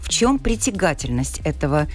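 A woman radio host reading a scripted introduction and asking a guest measured questions. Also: deep voice, very animated.

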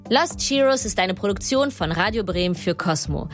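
A woman's voice: mid-range voice, animated, moderate pace, soft background music. A woman hosting a podcast, moving between scripted narration and addressing guest and listeners. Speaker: podcast host.

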